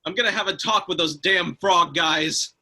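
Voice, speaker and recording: A man playing a harried everyman in a script table read, with a raspy voice. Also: high voice, moderate pace, bright, forceful tone, exaggerated voice. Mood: uneasy, dry. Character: Joe, harried everyman trying to save his job.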